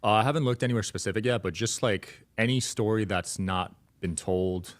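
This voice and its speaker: Podcast host, a man with a very deep voice who talks fast; upbeat, quick agreement and short questions. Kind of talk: casual podcast interview.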